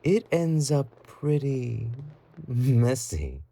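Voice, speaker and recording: Man playing a slow, husky, obsessive yandere boyfriend. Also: mid-range voice, very animated, dark tone, heavily acted. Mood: menacing, playful. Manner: slow, intimate, cooing with giggly menace. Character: obsessive, possessive yandere boyfriend, doting yet menacing. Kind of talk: romantic roleplay audio drama.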